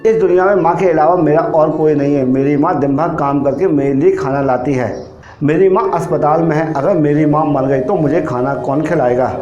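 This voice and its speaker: A man's voice: a little high, dark tone, dull audio, close to an everyday voice. A man voicing an innocent, earnest five-year-old boy in a narrated moral story. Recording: roomy echo, music behind.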